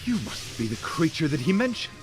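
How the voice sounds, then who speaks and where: A man's voice: a little high, sing-song, a little fast. A man acting a chivalrous, earnest knight in a video game, speaking in a formal, courtly, theatrical way. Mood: courtly, admiring. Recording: music behind the voice.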